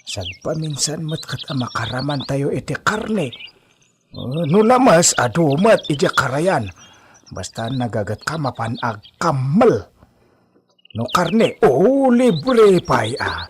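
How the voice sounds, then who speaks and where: A man's voice: fairly high pitch, big pitch swings, slow. A man with an Ilocano accent playing a folksy, chuckling old village grandfather full of advice in a radio comedy-drama, with birdsong behind him. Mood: folksy, hearty. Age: older. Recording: noisy track with chirping birds.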